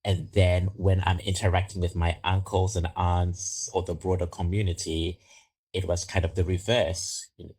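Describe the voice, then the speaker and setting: A man from Zimbabwe with a very low, deep voice speaks unhurriedly, weighing each word. He sounds middle-aged and reflective as he answers an interviewer's questions. The pace stays slow and measured throughout. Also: darkish tone, slightly rough, rough recording. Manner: slow, thoughtful storytelling. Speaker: podcast guest.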